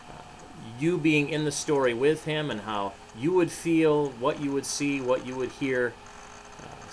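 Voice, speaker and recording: Pastor talking to children, noisy recording. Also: male voice, mid-range voice. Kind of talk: children's storybook reading with short reflections.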